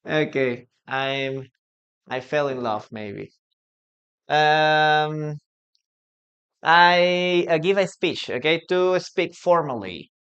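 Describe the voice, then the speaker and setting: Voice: medium pitch, very slow, pauses often, fairly bright tone, clear, resonant tone, dull audio. A native Spanish-speaking English teacher from Peru with a Peruvian accent, leading a live online class and patiently repeating key phrases.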